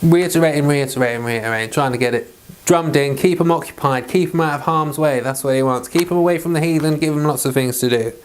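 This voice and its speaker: British man, slightly high voice, Bible read-along, informal commentary, rambling delivery. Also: fast, hardly any pauses, slight background noise. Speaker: Bible reader and teacher.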